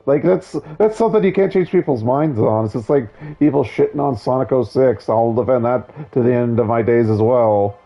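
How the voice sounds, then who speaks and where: A hoarse man losing his voice, giving casual, opinionated, digressive commentary on a video game. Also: mid-range voice, normal speed, hardly any pauses, dull audio. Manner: opinionated, digressive, many restarts.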